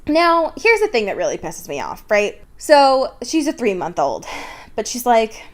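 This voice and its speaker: A woman's voice: fairly high pitch, huge pitch swings, measured pace. A young woman co-hosting a casual, comedic book podcast, sweary and exasperated, often doing mocking quotes. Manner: exasperated, sweary, mock-quoting. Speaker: podcast co-host. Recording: clear background noise.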